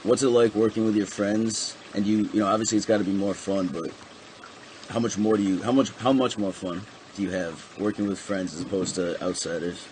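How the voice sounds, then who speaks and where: A man's voice: lowish voice, fairly even intonation, fairly quick, a little mellow, slight background noise, poor audio. A man interviewing a guest, asking long questions with many ums and restarts.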